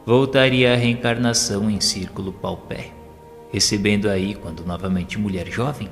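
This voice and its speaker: A man narrating an audio novel in a solemn, formal, measured, scripted dramatic style. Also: fairly low pitch. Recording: long reverb with faint music behind. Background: music.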